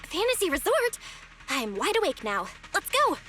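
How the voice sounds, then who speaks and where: An actress playing a perky, earnest young heroine in an anime-style video game. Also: female voice, very high-pitched, huge pitch swings, normal speed, bright, forceful tone, heavily acted. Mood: excited, brisk. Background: music.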